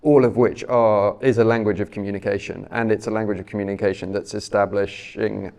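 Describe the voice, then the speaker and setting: A man interviewing an author onstage, measured and searching for his words. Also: lowish voice, fairly even intonation, creaky, a little mellow. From Britain.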